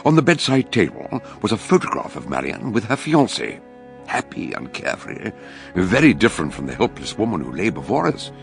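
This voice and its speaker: An actor playing a shrewd, courteous older British detective in a radio drama, speaking in a ponderous, theatrical way. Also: male voice, lowish voice, very animated, fairly quick, gravelly, soft background music. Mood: grave storytelling. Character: shrewd, grave, genial older male detective. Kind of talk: radio drama acting and narration.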